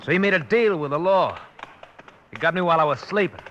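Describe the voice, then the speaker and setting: Sardonic outlaw gunslinger, sharp higher voice, folksy, unrepentant, vintage radio western, slight noise. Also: male voice, lively intonation, fast, muffled recording. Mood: grim, defiant. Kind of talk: 1950s radio western acting. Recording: slightly noisy old radio recording.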